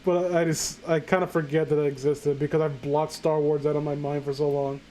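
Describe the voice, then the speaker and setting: A man reading fan fiction aloud casually, breaking off with sarcastic, halting, sweary commentary. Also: a little high, level tone, warm tone, a little hiss or hum.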